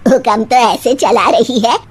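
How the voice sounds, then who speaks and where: A scolding cartoon mother-in-law, acted by a woman, high in pitch and very slow in delivery. She draws out her taunts with mocking sarcasm. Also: slightly rough. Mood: scornful. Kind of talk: animated moral story acting.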